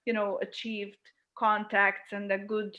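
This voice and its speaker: A woman's voice: mid-range voice, flat delivery, measured pace, dull audio. A woman, a filmmaker guest answering interview questions reflectively, with many fillers. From Hungary.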